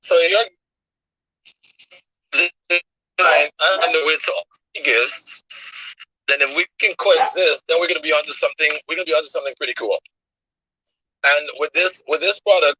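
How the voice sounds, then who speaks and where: A man's voice: fairly high pitch, normal speed, pauses often, sharp tone, husky, dry recording, muffled recording. A man hosting a casual live audio chat, talking upbeat and rambling, calling in while driving. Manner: rambling, upbeat, restarts phrases. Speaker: host.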